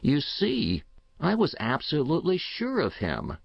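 A man's voice: on the high side, wildly swooping pitch, a little slow, gravelly, no echo, highs cut off, voice pushed up, full character voice. A man voicing an earnest anxious young boy. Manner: earnest, pleading. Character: eager anxious young boy, secretive and intense.

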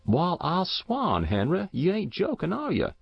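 A man's voice: high voice, wildly swooping pitch, measured pace, gravelly, slightly rough, dry recording, pitched above the performer's own voice, full character voice. A man voicing a solid, blunt farmer with a rural drawl. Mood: astonished. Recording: dull muffled dry recording.

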